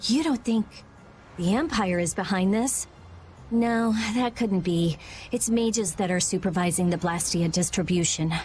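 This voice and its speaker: A woman's voice: mid-range voice, unhurried, darkish tone, slight background noise, close to an everyday voice. A woman voicing a blunt, skeptical, brainy teenage girl in a video game dub, curt and matter-of-fact. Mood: doubting.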